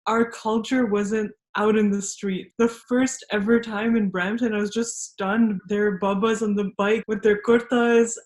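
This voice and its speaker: A woman's voice: mid-range voice, level tone, a little slow, hardly any pauses, a little mellow. Candid young woman in an echoey room. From Malaysia. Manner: candid, reflective, casual with frequent fillers. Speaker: interviewee. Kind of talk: personal storytelling interview.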